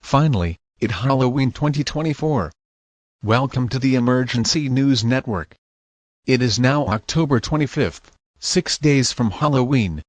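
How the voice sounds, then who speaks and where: A male announcer with a mid-pitched voice reads scripted horror-style news and emergency alerts. The sound is dry and close, with no room reflections. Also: normal speed, no echo.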